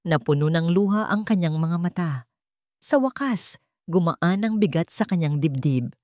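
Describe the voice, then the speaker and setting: A woman with a Filipino accent narrating a scripted romance drama in a measured, emotive storytelling manner. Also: lowish voice, darkish tone, no echo, clean studio sound.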